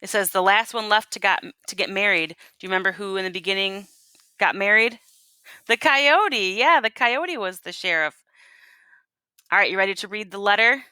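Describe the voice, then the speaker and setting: A woman teacher reading a storybook aloud to children and pausing to ask them questions. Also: mid-range voice, bright, forceful tone, clear, resonant tone, no echo.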